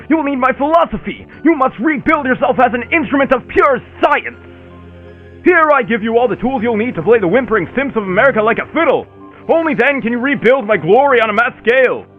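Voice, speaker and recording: A man's voice: extremely high pitch, lively intonation, quick pace, phone-quality sound, soft background music. A man voicing a megalomaniac mad scientist villain who lectures in a grandiose, sneering way, for a fan comic dub. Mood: scornful lecturing.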